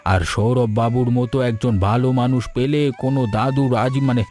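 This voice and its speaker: A man voicing a kindly, encouraging old village grandfather in an animated story, with a reassuring manner. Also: mid-range voice, a little fast, soft background music, lightly acted. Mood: tender. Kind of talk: Bengali animated story dialogue.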